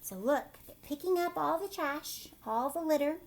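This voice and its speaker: A woman teacher reading a picture book aloud to children, pausing to explain it in simple, instructive asides and check-in questions. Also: high voice, expressive, a little hiss or hum.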